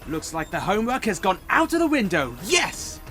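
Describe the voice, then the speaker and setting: Man as a lively, cheeky teenage schoolboy. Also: sits high, normal speed, soft background music, heavily acted. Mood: excited. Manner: flippant, lively. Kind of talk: audio drama acting.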